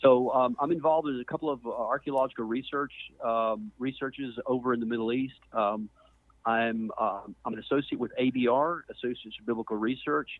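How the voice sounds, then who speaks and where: A man's voice: medium pitch, steady tone, a little fast. Phone-like middle-aged man, conversational guest. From Mississippi, US Deep South. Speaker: podcast guest, archaeologist. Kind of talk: conversational account of own background. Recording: muffled phone-like narrow band.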